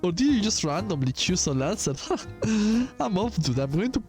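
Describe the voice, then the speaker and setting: A man's voice: high-pitched, sing-song, moderate pace, a little mellow, soft background music. A man voicing a cocky, joking teenage schoolboy in a video game, teasing and offhand.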